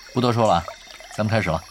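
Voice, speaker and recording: A man playing an easygoing, teasing pro gamer and team leader in an audio drama, talking unhurriedly with quiet confidence. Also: on the low side, very noisy, close to an everyday voice. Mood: businesslike. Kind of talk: Chinese audio drama acting.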